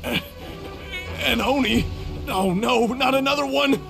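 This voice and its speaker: A jumpy, nervous villager from a video game. His voice is high and creaky, coming out slowly and hesitantly. He sounds shaky and apologetic over clear background music. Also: full character voice. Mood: alarmed. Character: nervous, jumpy male robbery victim. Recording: loud music behind the voice.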